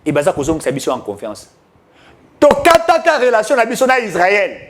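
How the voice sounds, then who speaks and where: Man, high-pitched, declamatory, political commentary. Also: huge pitch swings, moderate pace, a bit bright. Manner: emphatic, declamatory, mixing French with Lingala.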